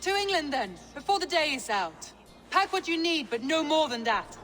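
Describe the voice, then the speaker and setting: A decisive, higher-voiced Viking noblewoman. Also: big pitch swings, moderate pace, fairly bright tone. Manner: direct, formal. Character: practical, decisive Viking clan noblewoman, wife. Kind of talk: dramatic game cutscene acting. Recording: orchestral music behind the voice.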